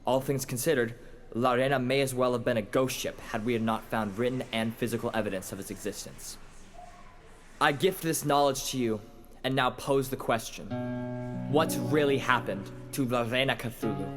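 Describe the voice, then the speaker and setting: A man's voice: mid-range voice, natural voice. Man acting a formal investigator, faint music behind. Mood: measured, intrigued. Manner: measured, formal, expository. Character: formal investigator lecturing on a mysterious lost ship. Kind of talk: scripted expository monologue in audio drama.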